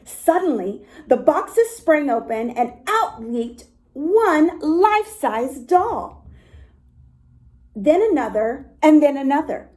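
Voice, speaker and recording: A woman reading a story aloud to young children in an expressive way, with little asides to her listeners. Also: high voice, slow. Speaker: storytime reader for a dance academy.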